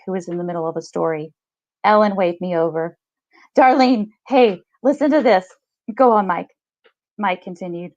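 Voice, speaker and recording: A woman's voice: mid-range voice, a little mellow, dry recording. A woman in her thirties on a video call, a podcast reader and co-host who reads and talks about short stories. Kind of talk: short story reading and casual book chat. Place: small room at home, video call. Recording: slightly dull video-call audio.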